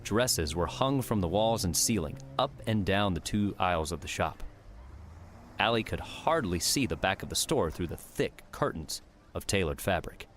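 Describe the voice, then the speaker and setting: Low-voiced man narrating over background ambience. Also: animated, moderate pace, a bit bright, a little hiss or hum. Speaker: audiobook narrator. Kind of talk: scripted story narration.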